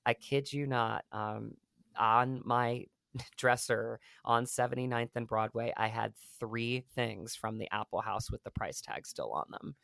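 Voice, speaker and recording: A man from Virginia recounts a story as an interview guest. His voice has a gravelly edge at a middle pitch, and the sound is dry and close. He talks haltingly, doubling back on words and acting out the dialogue. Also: fairly bright tone, dry recording. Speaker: guest actor. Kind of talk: anecdote in casual interview.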